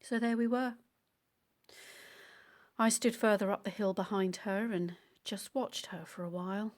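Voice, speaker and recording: A woman acting an intimate audio-play monologue as a calm, obsessive, secretly dangerous woman, confiding in a measured, often hushed and breathy way. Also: mid-range voice, natural voice. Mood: cold, hushed. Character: calm, obsessive, delusional woman abandoned as a baby, secretly murderous. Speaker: actor in a monologue.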